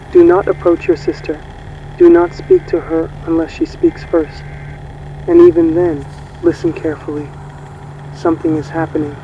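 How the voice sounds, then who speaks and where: A man narrating a scripted horror emergency broadcast in a grave, ominous, measured way. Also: high-pitched, creaky, mellow, highs cut off, a little hiss or hum.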